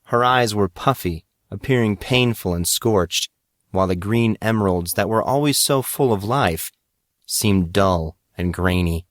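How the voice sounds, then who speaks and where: A man reading dramatic fiction as a narrator, in a measured and earnest manner. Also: fairly low pitch, no echo. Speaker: audiobook narrator.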